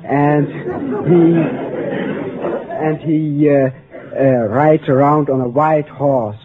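A man's voice: a little high, fairly even intonation, slow, a faint crowd. A man in his early twenties with a Dutch accent, answering interview questions as a student contestant. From Netherlands. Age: early 20s. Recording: tinny old broadcast, faint noise.

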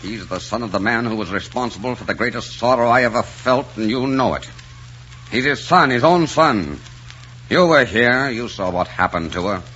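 An actor playing a stern, possessive older uncle with an emphatic, formal, commanding way of speaking in an old melodrama. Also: male voice, mid-range voice, moderate pace, pushed and bright, lightly acted. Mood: bitter, angry. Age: middle-aged. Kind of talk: old melodramatic film acting. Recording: muffled, noisy old film soundtrack.

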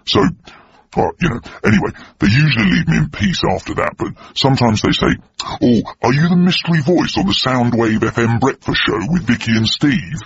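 Aggrieved man with odd, disguised-sounding gravelly voice. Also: medium pitch, animated, brisk, muffled recording. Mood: exasperated. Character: aggrieved anonymous-sounding man, defensive and exasperated about his voice. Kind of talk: mock documentary testimony.

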